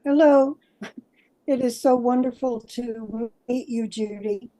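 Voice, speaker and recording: A woman's voice: on the high side, slow, mellow, rough recording. A woman, a coach, offering greetings and thanks on a video call. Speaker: coach and organization executive.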